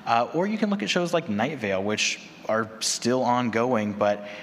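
A man's voice: medium pitch, big pitch swings, moderate pace, fairly bright tone. A man speaking conversationally as a podcaster on a panel. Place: large convention room with audience. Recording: echoey hall PA, noisy room.

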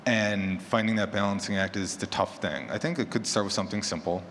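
Male panelist, lower register, casual and conversational, recorded in a reverberant hall with background noise. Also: brisk, a bit bright. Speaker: panelist, horror fiction podcaster. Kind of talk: live panel discussion, casual. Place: large echoey room at a convention panel.

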